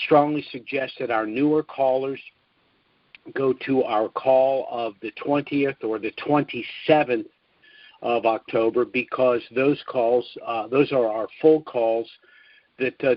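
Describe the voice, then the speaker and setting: A man briefs listeners over a conference call, steady and formal, often pausing on an "uh" between phrases. His voice sits at an ordinary pitch, and the dull, muffled line sounds dry and close. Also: dry recording. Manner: measured, formal, with frequent "uh" fillers.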